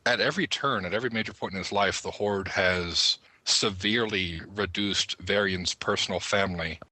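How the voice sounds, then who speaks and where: A man with a very deep, rough-edged voice discusses things casually as a panelist. He explains and argues with small asides, in a forceful, cutting tone. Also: moderate pace, no room sound, rough recording. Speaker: podcast panelist.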